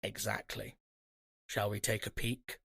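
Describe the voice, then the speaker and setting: An actor playing a guarded, gruff investigator in an audio drama, with a husky, gravelly voice and a dry, terse, commanding manner. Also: male voice, fairly low pitch, little pitch movement, measured pace, pushed and bright, dry recording, heavily acted. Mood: quietly probing. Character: guarded, gruff male paranormal investigator James Hunter.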